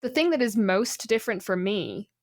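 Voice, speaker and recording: A woman's voice: medium pitch, lively intonation, moderate pace, a little mellow, no echo, studio quality. A woman talking casually about folklore and games, opinionated and given to rambling asides.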